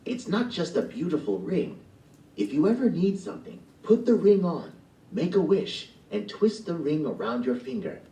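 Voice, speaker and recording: A man voicing a gentle, mysterious old woman who gives solemn advice in a storybook play, recorded in a roomy space. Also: fairly high pitch, very animated, moderate pace, voice pushed up. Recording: roomy, from far off the mic.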